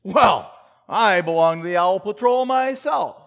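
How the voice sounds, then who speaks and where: Man playing pompous gullible neighbor, slow drawl of indignation, swooping pitch, old-time radio comedy, hemming. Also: expressive, fairly bright tone, full character voice. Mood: indignant pride. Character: pompous, hesitant male neighbor, proud and gullible. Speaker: actor in community theater radio play.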